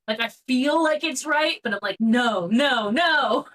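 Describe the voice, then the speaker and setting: A woman's voice: high voice, expressive, measured pace, fairly bright tone. A woman in casual chat as a commentator, hesitant and groping for her words.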